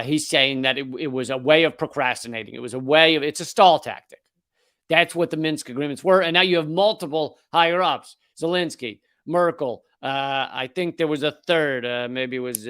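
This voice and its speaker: A man's voice: medium pitch, steady tone, moderate pace, fairly bright tone, clean tone, no echo, studio quality. A man hosting a political comedy show, delivering sardonic, profane commentary with mocking put-on voices.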